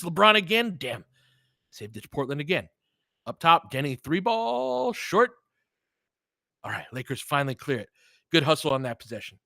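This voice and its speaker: A man's voice: mid-range voice, pushed and bright, no echo, clean studio sound. A man doing casual live basketball play-by-play in short, clipped phrases, with informal asides as a fan would make. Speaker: play-by-play commentator and streamer.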